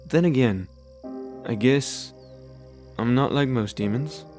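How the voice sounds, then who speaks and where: An actor voicing a charismatic demon fiddler, roguish and protective with a wounded side, in a theatrical audio drama style. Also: male voice, mid-range voice, takes it slowly, darkish tone, soft background music, lightly acted. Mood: earnest, resigned.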